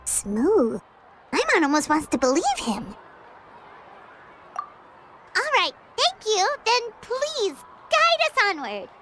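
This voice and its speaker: A woman voicing a small, excitable, childlike fairy companion in a video game, chatty, nosy and full of exclamations. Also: very high voice, slow pace, long pauses, exaggerated voice. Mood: intrigued. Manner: refers to self in third person, exclamatory. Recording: music under the voice.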